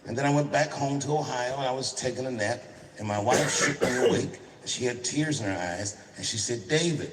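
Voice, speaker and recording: A man doing a stand-up routine, telling a long story with deadpan punchlines. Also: medium pitch, brisk. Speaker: stand-up comedian. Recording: echoey stage recording with background noise.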